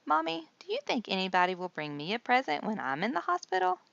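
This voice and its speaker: A woman's voice: medium pitch, huge pitch swings, quick pace, creaky, fairly bright tone, dry recording, muffled recording, voice pushed up, full character voice. A woman voicing a sweet, curious little monster boy from a children's storybook, eager and innocent. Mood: tender.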